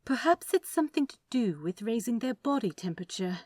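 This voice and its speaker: A woman's voice: on the high side, big pitch swings, a little fast, darkish tone, no room sound, clean studio sound, voice pushed up. A woman voicing a prim, lilting, earnest angel. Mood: earnestly puzzled. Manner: hesitant, polite, fussy phrasing. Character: prim, earnest, tentative male angel, curious and fretful.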